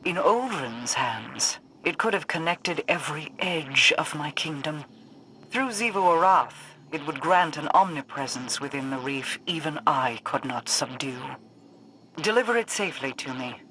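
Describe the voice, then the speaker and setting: A woman voicing a calm, commanding alien queen in a video game cutscene, telling a solemn story in a measured way. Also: low voice, a little hiss or hum, full character voice, rough recording. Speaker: queen character. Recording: game audio with loud score behind.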